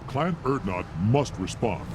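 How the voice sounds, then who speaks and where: Game antagonist: formal, accusing clan traditionalist, fairly deep, animated pitch. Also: male voice, unhurried, noisy background. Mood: stern, accusing. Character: stern traditionalist male krogan alien clan rival. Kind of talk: video game dialogue.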